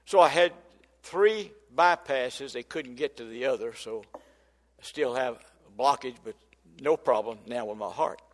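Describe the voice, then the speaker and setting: A man's voice: mid-range voice, measured pace, in a large hall. An older man, preaching a sermon in folksy storytelling style that builds to emphatic preaching. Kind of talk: sermon testimony.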